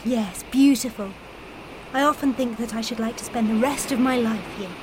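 Actress as a high-voiced, courteous Parisian mother. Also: moderate pace, slight background noise, lightly acted, poor audio. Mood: friendly, polite. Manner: courteous, tentative. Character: polite, guarded young Parisian mother on holiday. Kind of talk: radio play acting.

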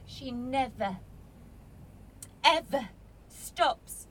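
A woman's voice: high-pitched, lively intonation, very slow, a bit bright, slight background noise, full character voice, rough recording. An actress playing a bitter, defensive young woman in a radio play, sarcastic and combative. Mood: exasperated, sarcastic.

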